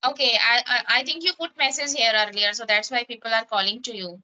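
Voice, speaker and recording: Bright high-voiced Indian woman. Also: fairly quick, dull audio. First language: Hindi. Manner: asks questions, hesitant with fillers. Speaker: language practice partner. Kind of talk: casual practice conversation. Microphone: app call.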